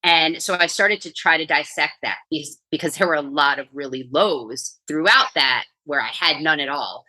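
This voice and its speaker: A middle-aged woman from New York, a coach and author, telling her story in a chatty, energetic way as a podcast guest. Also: deep voice, normal speed, hardly any pauses, poor audio. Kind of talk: casual podcast interview.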